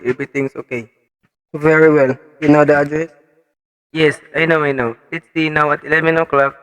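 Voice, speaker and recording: A man's voice: a little high, natural voice. Man acting an echoing, conspiratorial criminal plotter. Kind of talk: radio drama acting. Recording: long echoing reverb.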